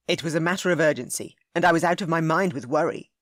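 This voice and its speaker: A woman's voice: deep voice, fast talker, dry recording, clean studio sound, natural voice. Woman reading a blunt, frustrated young duke. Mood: earnest. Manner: blunt, earnest.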